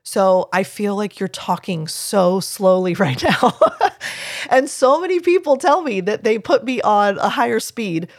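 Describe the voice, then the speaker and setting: Low-voiced woman podcast host, dry sound. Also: lively intonation, unhurried, dry recording. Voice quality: low speaking voice. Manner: explanatory, earnest, reads listener emails aloud. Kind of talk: teaching podcast, answering a listener's email.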